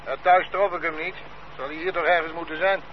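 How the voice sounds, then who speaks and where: An actor playing an officious court messenger delivering a summons in a radio drama. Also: male voice, a little high, fairly even intonation, natural voice. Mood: searching. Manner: brisk, matter-of-fact orders. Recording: dull old radio play recording with faint hiss.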